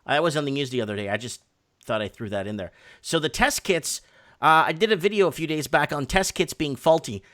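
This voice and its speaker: A man with a slightly high, bright and forceful voice hosts a casual live talk show. He speaks bluntly and puts firm emphasis on his points. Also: animated, fairly quick. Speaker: host of a live call-in night show.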